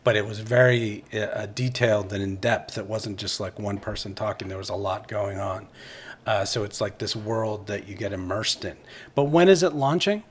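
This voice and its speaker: A man with a fairly low voice hosts a casual live interview. He talks conversationally, as a podcast host does with guests. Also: moderate pace, a little hiss or hum.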